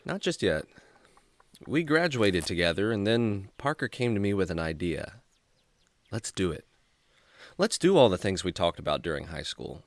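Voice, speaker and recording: A man's voice: deep voice, sing-song, moderate pace, pauses often, dry recording. A man playing a friendly, earnest young adventurer in a children's radio drama, speaking like a clean-cut storyteller. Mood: nostalgic.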